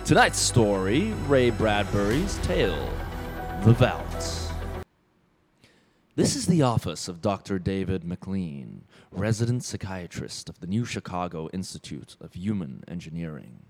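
Slow grave old-time radio announcer, sci-fi show, loud music behind him. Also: male voice, low voice, very animated, full character voice. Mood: grave, portentous. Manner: dramatic, deliberate. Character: grave, portentous male announcer of science fiction tales. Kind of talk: 1950s radio drama announcing. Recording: vintage radio broadcast with music. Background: dramatic music.

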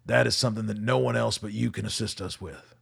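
Male actor as weary army colonel, very husky, deepish voice, fatherly authority, measured radio drama delivery. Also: steady tone, exaggerated voice. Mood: grave, urgent. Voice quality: gravelly, husky.

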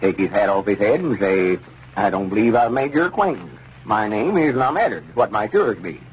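Low-voiced, self-important old Arkansas countryman. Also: very animated, normal speed. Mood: advising. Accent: Southern US, rural Arkansas. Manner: folksy, know-it-all, drawling. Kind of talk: 1930s radio comedy acting. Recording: dull, noisy 1930s radio recording.

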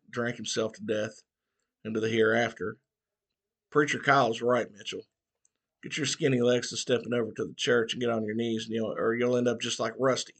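A man's voice: medium pitch, a bit bright. A man voicing an old small-town barber, folksy and scolding.

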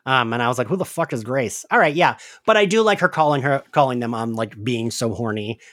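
Guy podcast host, lively pitch swings, casual sweary recap chat, close dry sound. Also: brisk, no echo. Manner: animated, conversational, swears casually.

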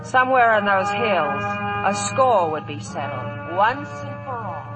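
A woman's voice: medium pitch, sing-song, measured pace, rough recording. An actress playing a weary, wry saloon woman who also narrates, in 1950s radio drama style. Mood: grave, narrating. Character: weary, wry Old West saloon woman telling her story. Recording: old 1950s radio broadcast, faint music.

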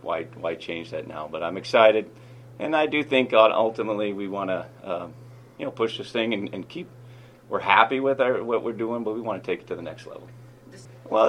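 A man, a football head coach and manager, answering in an interview clip with halting speech and frequent restarts. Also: fairly low pitch, a little hiss or hum. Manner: halting, many fillers and restarts.

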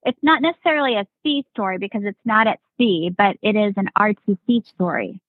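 A woman's voice: medium pitch, a little fast, no echo. Woman on a tinny, dry phone line. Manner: rambling, fills with "like" and "right?". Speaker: podcast guest, Navy veteran. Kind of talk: casual storytelling chat.

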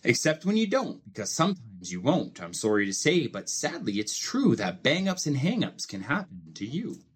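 A man with a slightly rough, husky voice reads a children's storybook aloud. His pitch rises and falls freely, and he phrases the verse in a measured way with expressive emphasis. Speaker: host reading a children's book aloud.

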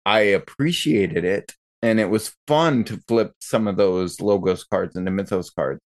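A man's voice: lowish voice, a little slow. A man chatting casually as a podcast co-host and role-playing game player. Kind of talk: casual panel chat.

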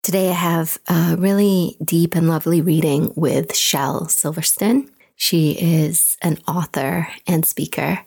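A woman podcast host with a raspy, gravelly voice that sits low in pitch. She talks gently and unhurriedly, painting pictures as she leads an intuitive reading. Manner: gentle, unhurried, imagery-rich. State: raspy voice today. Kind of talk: guided intuitive reading, descriptive.

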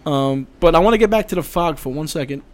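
A man guesting on a podcast, talking casually and haltingly, often repeating words. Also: on the high side, expressive. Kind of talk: casual chat.

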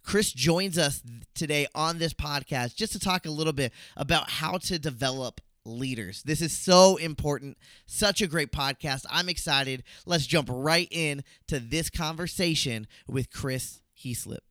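Man, podcast host, gushing, upbeat, bright tone, slightly high. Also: unhurried, hardly any pauses, no echo, studio quality. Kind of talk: enthusiastic podcast hosting.

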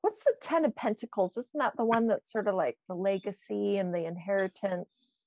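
A woman's voice: medium pitch, moderate pace, a little mellow, dry recording. A woman co-hosting a podcast, reading out quiz items and musing encouragingly in a casual way, on slightly muffled audio. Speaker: podcast co-host, quiz reader.

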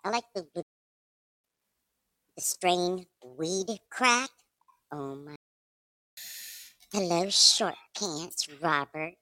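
A woman's voice: animated, extremely slow, long pauses, pushed and bright, dry recording, rough recording. A woman chatting casually in a playfully blunt, mock-tough way. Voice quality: squeaky at times. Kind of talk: casual chat.

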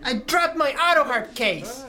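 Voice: high-pitched, expressive, unhurried, a loud crowd. A performer playing a goofy, jumpy young American band member with a slangy, dopey delivery in a comic radio play. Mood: alarmed. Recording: loud music behind the voice.

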